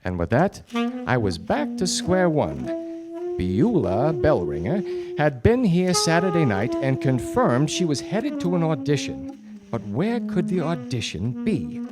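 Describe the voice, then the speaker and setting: A man playing a wry, deadpan 1940s detective in a radio play, narrating his case and trading wisecracks. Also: mid-range voice. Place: stage before a studio audience. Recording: live radio play with music behind.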